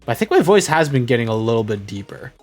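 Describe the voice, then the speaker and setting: A young man chatting casually as a streamer, rambling and correcting himself, often trailing off quietly. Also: mid-range voice, sing-song, fast, faint music behind. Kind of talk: casual rambling chat while gaming.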